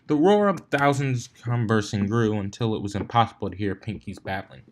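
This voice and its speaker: A man's voice: lowish voice, expressive, brisk. A man reading a scripted story aloud as a fan fiction narrator.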